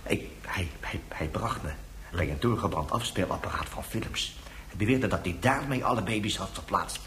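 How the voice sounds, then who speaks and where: Low-voiced, stammering, rattled police inspector. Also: male voice, moderate pace, a bit bright, slight background noise. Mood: agitated, fearful. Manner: stammering, repeating words. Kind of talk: radio drama dialogue.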